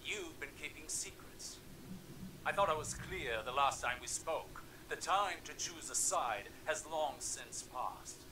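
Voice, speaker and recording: A man's voice: a little high, animated, a little slow. A man voicing a brash, impatient mage who demands answers in a video game cutscene. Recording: distant voice with noisy capture.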